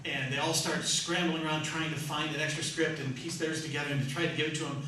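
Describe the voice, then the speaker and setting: A man hosting an audience question session for a theatre troupe, telling anecdotes in an animated, joking way. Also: a little high, fast. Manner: animated, joking, often laughing. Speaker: radio theatre troupe founder and host. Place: live hall with an audience. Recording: echoey hall, distant mic, noisy.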